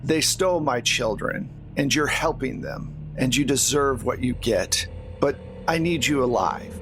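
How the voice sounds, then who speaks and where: A man voicing a vengeful, menacing older nun, a villain in a tabletop game, slow and deliberate. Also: mid-range voice, background noise, close to an everyday voice. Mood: cold, accusing.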